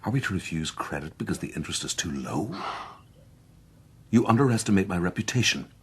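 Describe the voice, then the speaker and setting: Reckless gentleman, deep husky voice, boastful, hot-headed, period radio drama. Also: animated, brisk, exaggerated voice. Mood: dismissive, confident. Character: boastful, reckless Irish gentleman, quick to curse.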